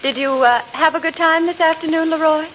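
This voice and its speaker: An American woman playing a gracious, tactful schoolteacher, kindly and gently playful, in a 1940s radio comedy. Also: very high voice, brisk, poor audio. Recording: old radio recording, faint hiss.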